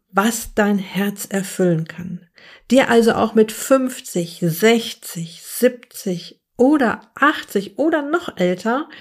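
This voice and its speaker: A woman hosts a podcast. She introduces it and interviews her guest in an upbeat, chatty way. Her voice is mid-pitched. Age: 50s. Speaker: podcast host.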